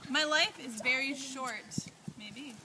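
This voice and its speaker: A carefree little cricket, voiced by a woman, talks calmly in a puppet show. The voice is high, and the amateur recording is full of noise. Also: slow. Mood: calm, accepting. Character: short-lived male cricket, carefree and accepting. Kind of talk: children's puppet show storytelling. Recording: very noisy amateur room recording.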